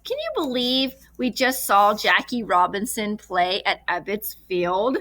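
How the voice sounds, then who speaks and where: A woman's voice: medium pitch, expressive, a little slow, fairly bright tone. A woman voicing an eager, earnest young boy adventurer in a children's storybook.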